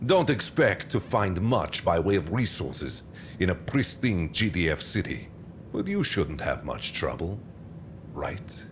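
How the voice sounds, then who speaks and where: An actor playing a menacing, silky, commanding warlord in a video game cutscene, theatrical and condescending in a deliberate delivery. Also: male voice, deep voice, sing-song, a little hiss or hum. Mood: smug.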